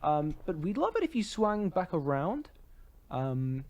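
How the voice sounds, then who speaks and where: A man's voice: fairly high pitch, very animated, measured pace, a little mellow. A man co-hosting a podcast, talking in a casual, conversational way. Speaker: podcast co-host.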